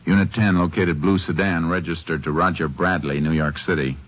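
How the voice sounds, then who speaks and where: Man playing very deep-voiced, terse Texas Ranger. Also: steady tone, fairly quick, a little hiss or hum, close to an everyday voice. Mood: brisk, businesslike. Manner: terse, authoritative, matter-of-fact. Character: stern, terse Texas Ranger lawman, also narrating. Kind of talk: old radio western acting and narration. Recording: dull old radio drama recording.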